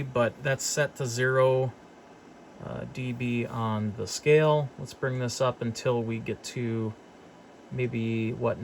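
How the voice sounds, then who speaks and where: A man's voice: medium pitch, gravelly, a little hiss or hum, low-quality recording. A man giving a casual, unscripted equipment demonstration as a hobbyist technician, thinking aloud hesitantly as he goes. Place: service workshop, indoors.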